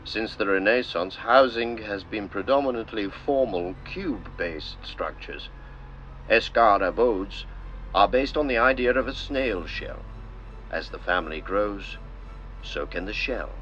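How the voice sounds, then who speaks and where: A man narrating a scripted art film in the manner of an old-style documentary, with measured, theatrical, deliberate phrasing. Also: fairly low pitch, animated, faint music behind. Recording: noisy, dull lo-fi narration track.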